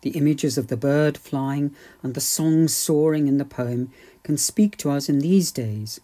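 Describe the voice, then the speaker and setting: A man giving a scripted, formal introduction to a poem in measured phrases. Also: on the high side, dark tone. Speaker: church member introducing a poem.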